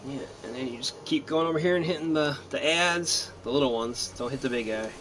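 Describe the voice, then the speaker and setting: A man's voice: medium pitch, brisk, a bit bright, slight background noise. A man giving casual let's-play commentary in a laid-back, hesitant, rambling way. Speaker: gaming commentator.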